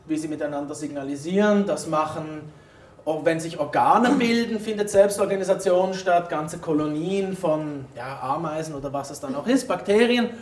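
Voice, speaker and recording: A man's voice: fairly high pitch, normal speed, a little mellow. A man giving an explanatory conference talk in an informal way, addressing his audience directly as a native German speaker. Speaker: conference speaker, former molecular biologist. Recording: roomy echo, distant microphone in hall.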